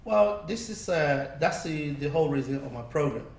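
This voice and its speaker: A man working as a business coach, answering interview questions in a persuasive, repetitive sales-pitch way. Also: lively intonation, normal speed. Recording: roomy, distant mic, faint noise.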